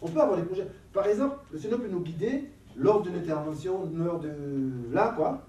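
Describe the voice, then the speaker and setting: Animated man in an echoey room. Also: sits high, fairly quick. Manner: animated, laughing, repeats words. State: has a cold. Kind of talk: casual teaching talk with a group. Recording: echoey room, from a distance, faint noise.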